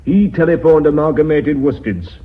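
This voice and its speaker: An actor in a radio drama playing a suspicious company board member who argues and accuses. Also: male voice, a little high, expressive, moderate pace, lightly acted. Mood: accusing. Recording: phone-like narrow-band radio recording.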